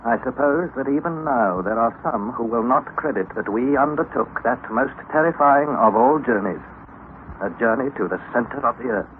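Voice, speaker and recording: A man's voice: medium pitch, moderate pace, lightly acted. An actor playing an anxious young student who recounts his expedition in earnest, formal, dramatic narration for a radio play. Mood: grave, recounting. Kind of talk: dramatized radio narration of a classic adventure novel. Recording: noisy, narrow-band old radio recording.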